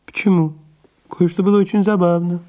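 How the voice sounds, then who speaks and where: A man acting a dry, dutiful secretary to an aging diva in an audio play, speaking in a measured, formal way. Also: high-pitched, fairly even intonation, warm tone, close to an everyday voice. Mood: reluctant, dry. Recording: music clearly behind the voice.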